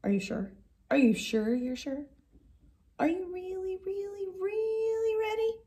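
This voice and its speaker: A woman in her thirties reading a picture book aloud like a teacher, with expressive, drawn-out emphasis on key words. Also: very high voice. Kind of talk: animated children's story read-aloud. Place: indoor classroom.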